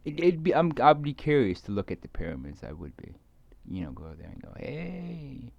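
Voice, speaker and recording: A man giving a rambling, casual monologue to viewers, halting and repeating his words as he trails off. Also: mid-range voice, sing-song, creaky, darkish tone, dry recording. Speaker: video creator speaking to viewers.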